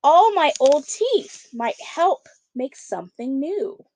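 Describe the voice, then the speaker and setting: A woman voicing a dismayed, excitable old man from a picture book in a theatrical, exclaiming way. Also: high voice, slow, pitched above the performer's own voice, exaggerated voice, rough recording. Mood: excited.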